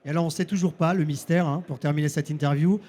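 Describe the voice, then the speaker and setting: A man from the interviewer's chair speaks French with an accent from France. His voice is high for a man and soft-edged, and he talks quickly, rephrasing as he goes in a casual style. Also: steady tone.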